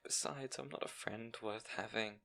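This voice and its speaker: A man playing a timid, self-doubting young scribe in an intimate fantasy audio roleplay, speaking in a nervous, halting, soft way. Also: lowish voice, steady tone, creaky. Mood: distressed, self-doubting.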